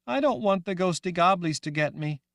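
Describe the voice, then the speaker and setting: A man voicing a frightened, childlike young woman who asks high, anxious, pleading questions in an audiobook reading. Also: expressive, brisk, fairly bright tone, no echo, clean studio sound. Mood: scared pleading.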